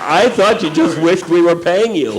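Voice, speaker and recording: A man's voice: high-pitched, normal speed. A man giving an informal live introduction and bantering as the announcer of a radio play recreation. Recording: live room with crowd noise.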